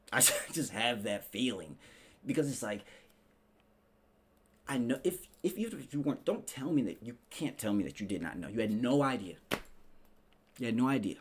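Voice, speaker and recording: A man's voice: medium pitch, expressive, normal speed, pauses often. A man hosting a casual commentary show, talking in a slangy, sarcastic, profane way as he rants and mimics people. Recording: clearly noisy background.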